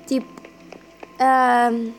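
Hoarse high-voiced Portuguese woman. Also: flat delivery, extremely slow. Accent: European Portuguese. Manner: rambling, hesitant. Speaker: gaming youtuber. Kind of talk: casual let's play commentary. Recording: voice over game music.

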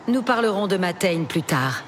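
An actress playing a composed warrior archer who speaks calmly and coolly. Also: female voice, fairly low pitch, normal speed, a little hiss or hum, close to an everyday voice. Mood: cold. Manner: calm, unshakeable, clipped. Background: music.

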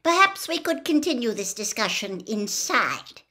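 A woman's voice: fairly high pitch, very animated, a little slow, pushed and bright, voice pushed up, full character voice. A woman voicing a prim, indignant male wizard official in a storybook reading, tart and coldly formal.

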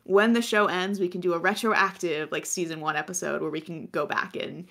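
An American woman in her twenties with a notably low voice for a woman. She co-hosts in loose, rambling conversation, often breaking into laughter. Her pitch sits on the low side. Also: steady tone, a little fast, creaky, fairly bright tone. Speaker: podcast co-host. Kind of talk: casual chat.

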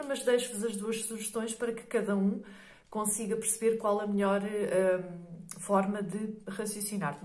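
A hoarse middle-aged woman with a European Portuguese accent, teaching a maths lesson patiently, checking in often with "ok?" and many fillers. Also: medium pitch, roomy sound. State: hoarse from a cold.

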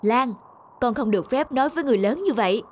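A gentle, patient mother, fairly high-pitched. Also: lively intonation, quick pace. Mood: scolding. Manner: gentle, instructive, polite. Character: kind, patient young mother teaching her daughter compassion. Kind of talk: cartoon moral tale dubbing.

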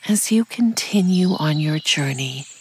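Female meditation narrator, low-pitched and soft, breathy to near-whispering, calm and soothing, over faint birdsong and forest ambience. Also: unhurried, slight background noise. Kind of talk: slow scripted guided meditation narration. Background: birdsong, insects, nature ambience.

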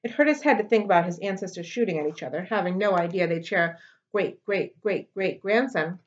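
A woman in her thirties reading a children's novel aloud at home into a webcam, in steady storybook narration. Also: fairly low pitch, a little fast, highs cut off. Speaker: library read-aloud host. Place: living room at home. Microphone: webcam on a desk.

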